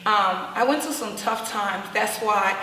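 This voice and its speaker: A woman's voice: medium pitch, a little slow, pushed and bright, slight background noise. Lady designer, talks emphatic, repeats stuff, echoey big room, mic far off. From Liberia. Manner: emphatic, repeats words for stress. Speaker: guest fashion designer. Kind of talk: talk show interview.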